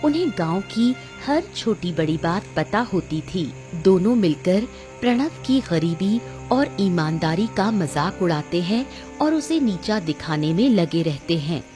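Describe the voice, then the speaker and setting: A woman's voice: fairly low pitch, lively intonation, a little slow, hardly any pauses, warm tone. A woman narrator reading a scripted cartoon story. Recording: music under the voice.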